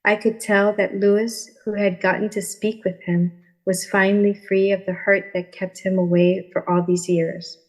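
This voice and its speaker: A woman with a medium-pitched voice speaks as a guest on a podcast. She tells of personal experience in the manner of a testimony. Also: flat delivery, moderate pace, rough recording.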